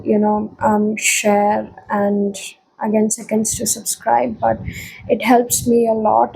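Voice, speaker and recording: A woman doing a tarot reading to camera, feeling unwell and speaking lower than usual, soft and hesitant with frequent pauses. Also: vocal fry, a little hiss or hum, rough recording. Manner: soft, hesitant, with frequent ums and repeated phrases. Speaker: tarot reader.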